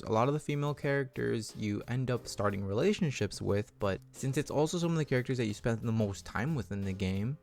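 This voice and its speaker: A man giving casual, informal commentary on a video game as a reviewer, animated and given to rambling asides. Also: mid-range voice.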